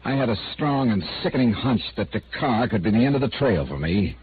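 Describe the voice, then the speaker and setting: A man's voice: lowish voice, animated, brisk, heavy background noise, lightly acted. Male actor as hard-boiled noir investigator, dry, terse, widely varied intonation, vintage radio play, narrow tinny sound. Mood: tense, weary narration. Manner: wry, terse, noir delivery. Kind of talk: 1950s radio noir acting and narration. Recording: old narrow-band radio recording with music.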